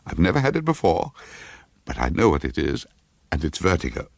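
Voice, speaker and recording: A man reading a ghost story, voicing a terrified woman trapped high up. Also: wildly swooping pitch, fairly quick, gravelly, no room sound, full character voice. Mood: rising panic.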